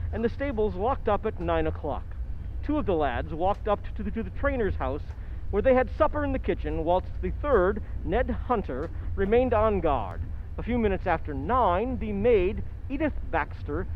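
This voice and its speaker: A man playing a genial gentleman narrator in an old-time radio drama, telling the tale in an earnest, formal way. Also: high-pitched, slight background noise. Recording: dull lo-fi sound, faint music.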